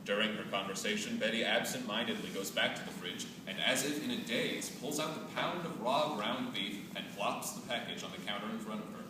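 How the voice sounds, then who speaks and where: A man's voice: on the low side, fairly quick, few pauses. A man reading scripted stage directions as narrator at a staged play reading. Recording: echoey hall from far off, noisy.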